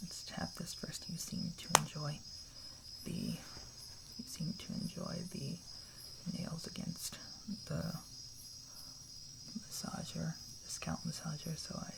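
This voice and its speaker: An ASMR roleplayer playing a kind, reassuring camper, whispering in a hushed, soothing way and gently checking in. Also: mid-range voice, flat delivery, takes it slowly, creaky, long pauses, heavy background noise. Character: kind, reassuring camper looking after a stranger.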